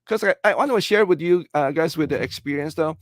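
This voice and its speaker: A middle-aged man from the Philippines with a Filipino English accent, hosting a casual livestream and answering viewer comments in a halting, earnest way, full of "uh" and "you know.". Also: fairly high pitch, dry recording, clean studio sound. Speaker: livestream host.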